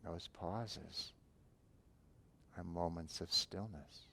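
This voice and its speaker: Slow, gravelly-voiced male meditation guide. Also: deep voice, a little hiss or hum. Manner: slow, calm, softly spoken instructions with long pauses. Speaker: meditation teacher.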